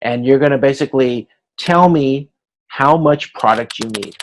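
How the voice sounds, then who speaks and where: A man teaching an informal online class, explaining step by step in a halting way and voicing hypothetical exchanges as examples. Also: medium pitch, low-quality recording. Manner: explanatory, halting, checks understanding, acts out hypothetical dialogue. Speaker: instructor. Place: video conference class.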